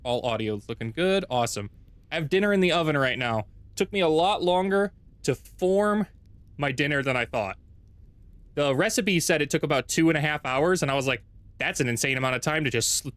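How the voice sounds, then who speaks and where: Male streamer, deep voice, casual rambling commentary, dry close home mic. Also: fairly bright tone, dry recording. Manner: rambling, self-correcting, thinks aloud. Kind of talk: casual gameplay livestream chat. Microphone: desk mic with noise gate.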